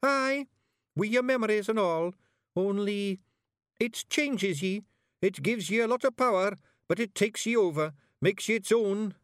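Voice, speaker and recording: A man voicing a tiny, earnest young Scottish fairy bard, explaining haltingly as in a storybook. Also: sits high, fairly bright tone, clear, resonant tone, no room sound, clean studio sound, voice pushed up. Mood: ominous, earnest.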